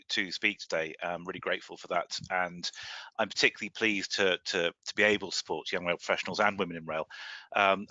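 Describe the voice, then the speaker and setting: A man's voice: on the low side, sharp tone, no room sound. A man opening a presentation as guest speaker, self-deprecating and repeating words as he gets going. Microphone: video call. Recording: dull, dry online call audio.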